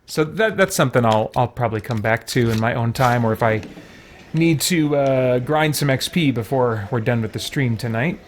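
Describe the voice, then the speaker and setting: A man's voice: medium pitch, moderate pace, slight background noise. A man giving chatty, wry commentary on a game stream, sometimes reading game text aloud.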